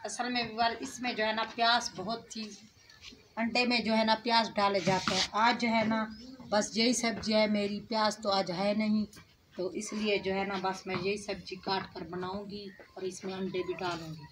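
A woman's voice: mid-range voice, little pitch movement, normal speed. Pakistani village woman chatting to viewers. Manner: chatty, repeats filler phrases, addresses viewers warmly. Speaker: vlog host. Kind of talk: casual cooking vlog talk. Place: outdoor village courtyard. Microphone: camera on tripod at a distance. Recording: noisy outdoor camera audio.

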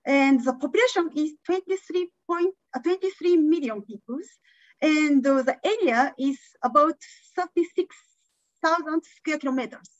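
Hesitant high-voiced woman presenting online. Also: moderate pace, no echo, muffled recording. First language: not English. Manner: hesitant, many fillers. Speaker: invited conference speaker. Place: indoor room.

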